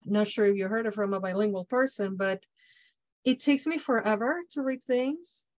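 A woman's voice: mid-range voice, normal speed, dry recording. Mexican-accented woman host, dry close sound. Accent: Mexican Spanish. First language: Spanish. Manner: effusive, enthusiastic. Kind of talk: interview chat.

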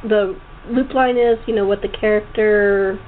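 A woman hosting a casual interview, speaking haltingly with repeated and restarted phrases. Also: mid-range voice, fairly even intonation. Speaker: talk show host. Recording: tinny phone line with clear background noise.

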